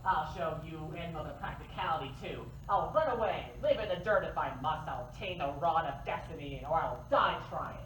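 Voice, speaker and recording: A man's voice: a little high, lively intonation, moderate pace, hardly any pauses, fairly bright tone. Man playing a melodramatic young king, wildly swooping, echoey. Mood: defiant. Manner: melodramatic, defiant. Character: young defiant dreamer king, stubborn son. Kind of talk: staged play reading. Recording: echoey room, distant mic, clear noise.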